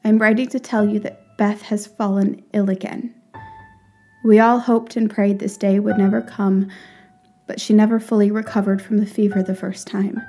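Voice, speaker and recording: A woman acting in a period audio drama as a grave, loving mother, reading a letter in a measured, solemn way. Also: mid-range voice, mellow, close to an everyday voice. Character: Marmee, grave, loving mother writing sad news.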